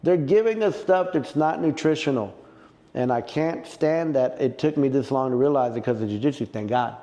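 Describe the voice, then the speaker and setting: A middle-aged man with a mellow, gravelly voice, talking jiu-jitsu in a relaxed interview. He tells his stories with plenty of feeling and jumps up in pitch and volume when something excites him. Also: moderate pace. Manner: animated, emotional storyteller who gets loud and high when excited. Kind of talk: casual podcast interview.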